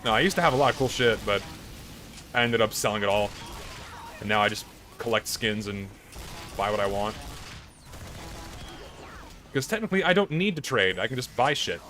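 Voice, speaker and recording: A hoarse, tired young man chatting casually on a live stream, rambling and blunt with plenty of swearing. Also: on the low side, lively intonation, moderate pace, long pauses, pushed and bright.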